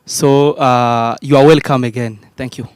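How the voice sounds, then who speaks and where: Polite Congolese man, smooth voice. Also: mid-range voice, steady tone, a little mellow. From Democratic Republic of the Congo. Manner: polite, formal, measured. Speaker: climate activist. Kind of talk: panel introduction.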